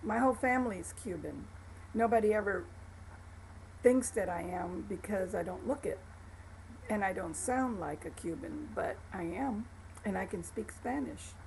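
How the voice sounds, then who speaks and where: A woman's voice: medium pitch, animated, moderate pace, gravelly, a little mellow, a little hiss or hum, poor audio. A woman in her seventies from Florida, telling her life story in a chatty, personal way. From Florida, USA. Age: 70s. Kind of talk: personal testimony to camera.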